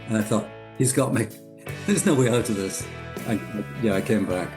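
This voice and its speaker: An older man from the west coast of Scotland with a Scottish accent, telling his story as a podcast guest in an unhurried, reflective way. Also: on the low side, over music. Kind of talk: casual interview talk.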